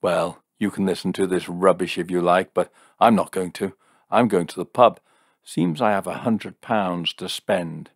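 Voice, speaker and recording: A man's voice: sits low, measured pace, creaky, no echo, clean studio sound, full character voice. A man voicing a hot-tempered, sneering young man in an audiobook story, blustering and sarcastic. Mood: indignant, angry.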